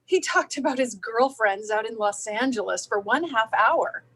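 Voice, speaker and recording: A woman's voice: fairly high pitch, expressive, moderate pace, fairly bright tone, slightly rough, exaggerated voice, poor audio. A woman playing a watchful, nosy suburban housewife in a staged play reading. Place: video call.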